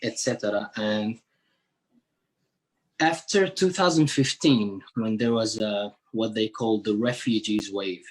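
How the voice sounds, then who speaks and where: A man's voice: lowish voice, takes it slowly. Syrian man answering in an interview. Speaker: interview guest, musician working with NGOs.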